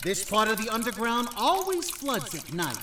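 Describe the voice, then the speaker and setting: Noir audio drama: male actor as bossy, exasperated gangster big brother, very animated, distant in a roomy space. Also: sits high, moderate pace. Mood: menacing, mocking. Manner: bossy, sneering, easily exasperated. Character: older brother, bossy knife-carrying 1930s gangster thug. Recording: roomy, distant, slightly noisy.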